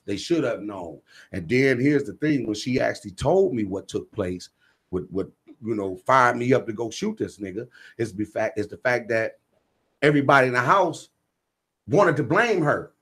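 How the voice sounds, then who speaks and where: A middle-aged man with a husky voice talking earnestly and emphatically as a radio interview guest, often checking that he is understood. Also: medium pitch, animated, moderate pace, a bit bright. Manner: emphatic, often seeking agreement with repeated check-in phrases. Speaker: guest, stage playwright. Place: radio talk show.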